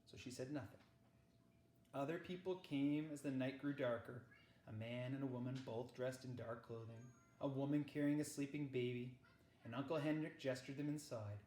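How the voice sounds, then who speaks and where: A man reading a children's novel aloud as narrator, with measured, dramatic phrasing. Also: mid-range voice, warm tone. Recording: echoey, roomy sound.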